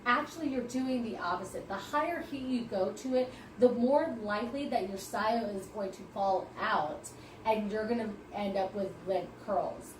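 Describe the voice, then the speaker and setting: A woman's voice: medium pitch, moderate pace, distant mic, slight background noise. Confident woman explaining in an echoey room. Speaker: podcast co-host, hairstylist. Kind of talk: casual chat.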